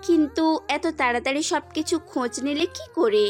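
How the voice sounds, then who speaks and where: Woman playing a high-voiced young woman doctor. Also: fairly quick, close to an everyday voice. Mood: curious. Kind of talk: amateur audio drama acting. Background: faint background music.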